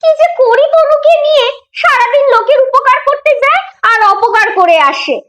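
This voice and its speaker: Woman as a shrill, bright, scolding village mother. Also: lively intonation, normal speed. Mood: exasperated. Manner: scolding, sarcastic. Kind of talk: children's cartoon dialogue.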